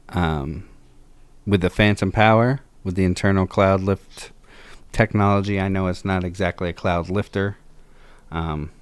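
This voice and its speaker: Slow, deep-voiced man demoing gear. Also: clear, resonant tone, no room sound. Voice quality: low voice. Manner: slow, measured and technical, with frequent filler sounds. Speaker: video host. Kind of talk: microphone demo talk. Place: home recording studio. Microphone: close to a studio microphone.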